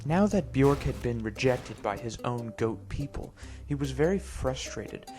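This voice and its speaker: A man improvising a mock-storybook narration over gameplay in a mock-serious, deadpan way. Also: medium pitch, measured pace. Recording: music behind the voice. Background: clear background music.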